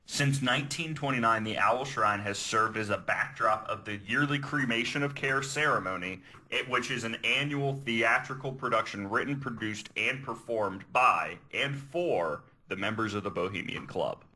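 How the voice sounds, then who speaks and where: A man's voice: on the low side, normal speed, few pauses, pushed and bright, rough recording. A man hosting a podcast, earnestly explaining researched material and sometimes stumbling over his words. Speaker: podcast host. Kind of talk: reading researched notes, explanatory podcast talk.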